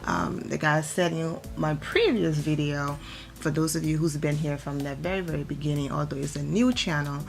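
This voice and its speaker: A hoarse woman, nearly losing her voice, chatting through celebrity gossip as a vlogger in a rambling, filler-heavy way. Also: low-pitched, steady tone, normal speed, slight background noise. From Atlanta, Georgia. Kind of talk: casual gossip commentary.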